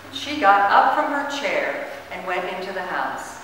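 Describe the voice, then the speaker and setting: A woman narrating a story as a dramatic reading, with expressive, theatrical phrasing. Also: medium pitch, measured pace. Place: large church, distant camera mic. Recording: echoey, noisy hall from far off.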